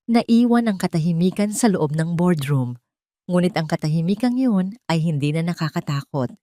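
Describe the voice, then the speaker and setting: A woman narrates a dramatic first-person story. Her voice sits on the low side, and the recording is close and dry, with little room sound. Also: expressive, normal speed, warm tone, dry recording.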